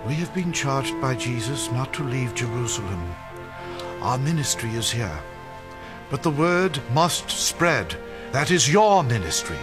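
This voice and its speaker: Biblical audio drama leader: mid-pitched, measured, solemn authority, music under the voice. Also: male voice, very animated. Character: calm, authoritative male apostle leading his flock. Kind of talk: dramatized radio play acting. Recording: music behind the voice.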